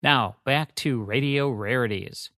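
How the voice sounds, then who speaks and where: A man working as a radio host, reading a scripted promotion in a deliberate announcing manner. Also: mid-range voice, bright, forceful tone.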